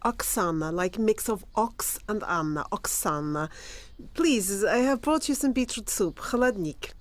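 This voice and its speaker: A woman's voice: medium pitch, expressive, unhurried, lightly acted. A woman playing a blunt Ukrainian refugee neighbor. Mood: blunt, bossy. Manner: blunt, commanding, broken English. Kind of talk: radio play acting.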